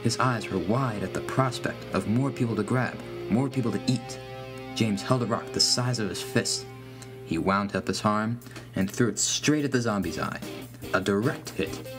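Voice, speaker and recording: A man narrates a scripted horror tale in the first person. His voice sits on the low side, and music plays clearly behind him. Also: normal speed. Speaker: story narrator.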